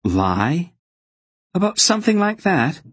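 A man plays an earnest boy hero from a fantasy audiobook, raising his voice above his own. He sounds awkward and stubborn, with lively swings in pitch. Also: slow, breathy edge, no echo, exaggerated voice. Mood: protesting. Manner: flustered, protesting, firm.

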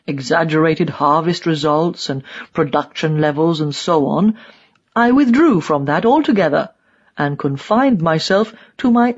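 Woman acting old East German man, audiobook dialogue, scornful, emphatic, stubborn, husky. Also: sits low, lo-fi sound, heavily acted.

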